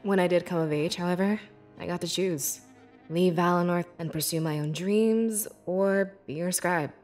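A woman playing a young, devoted beast-folk scribe in a fantasy video game, with earnest, measured and expressive delivery. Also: on the low side, soft background music, natural voice. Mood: reflective, sincere. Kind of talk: scripted video game character dialogue.

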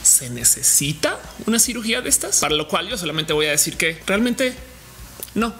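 A bright, forceful-sounding woman in her thirties talks straight to the camera, expressive and chatty with playful asides. Her voice comes through a camera mic in a home room, with a faint hiss behind her. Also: fairly low pitch, moderate pace. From Colombia. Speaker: vlogger. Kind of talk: candid personal vlog monologue. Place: indoor home studio room with shelves.

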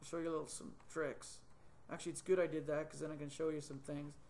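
A middle-aged man teaching a 3D modeling tutorial on screen, thinking aloud with many ums and quick self-corrections, recorded on a desktop webcam mic in a small room. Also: a little high, little pitch movement, vocal fry, darkish tone. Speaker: software tutor.

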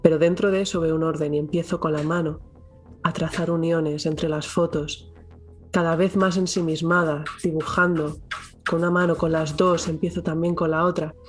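Even-toned woman narrating her game character. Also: low voice, moderate pace, soft background music. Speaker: tabletop game player. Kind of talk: first-person in-character narration.

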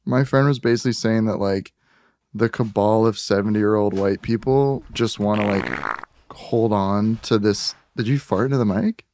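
A man's voice: fairly low pitch, moderate pace, a little mellow. A man chatting casually and informally, with some swearing, as on a podcast. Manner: informal, profane. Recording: faintly noisy recording.

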